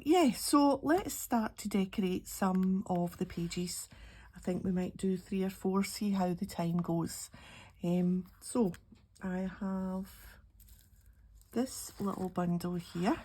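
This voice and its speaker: A middle-aged Scottish woman, slightly croaky with a cold, chatting and thinking aloud as she narrates a casual craft tutorial. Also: mid-range voice, darkish tone. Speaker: craft channel host. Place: indoor craft table. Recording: clearly audible background noise, handling clicks.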